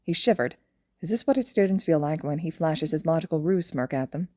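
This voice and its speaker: A woman's voice: deep voice, animated, brisk, warm tone, no room sound, clean studio sound. A woman narrating a scripted fan fiction audio story.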